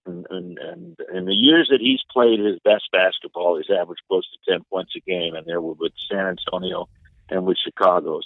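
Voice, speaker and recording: A man giving sports analysis as an interview guest, explaining things in a measured, halting way. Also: low-pitched, no room sound. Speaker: radio play-by-play announcer, interview guest. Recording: narrow, tinny phone-like line.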